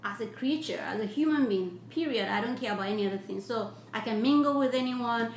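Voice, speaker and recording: Woman livestream host, effusive, slightly high voice, echoey room, faint hum. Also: fairly quick. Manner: warm, effusive, blesses viewers at length; earnest, repetitive preaching tone. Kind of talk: casual live-stream chat, reading viewer comments.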